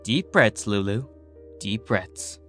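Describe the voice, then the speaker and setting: A man voices a comforting royal pony sister for a story. The delivery is soft, tender and soothing, with faint music underneath. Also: fairly low pitch, lively intonation, slow.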